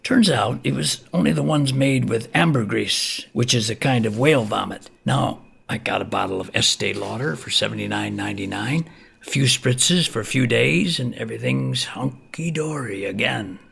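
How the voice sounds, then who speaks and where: A man's voice: mid-range voice, normal speed, vocal fry, fairly bright tone, breathy edge, exaggerated voice. An actor playing a chatty, folksy older neighbor who tells his story in a colloquial, easygoing way in an audio play. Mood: animated, bemused. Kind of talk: theatrical monologue in an audio play.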